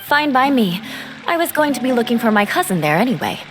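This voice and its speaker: A woman's voice: fairly high pitch, sing-song, a little fast, faint music behind. An actress voicing a cool, proud female knight in a video game, who talks in a dry, confident way. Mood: wry.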